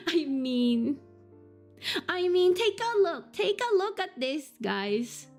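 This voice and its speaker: Giggly streamer girl, high voice bouncing up and down, takes her time. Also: big pitch swings, extremely slow, faint music behind. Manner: giggly, playful. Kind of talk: casual game commentary.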